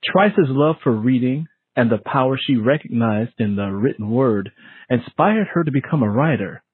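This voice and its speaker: A man's voice: medium pitch, breathy edge. A man hosting a book interview show, reading a scripted introduction and welcoming a guest. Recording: tinny phone-like line, roomy echo.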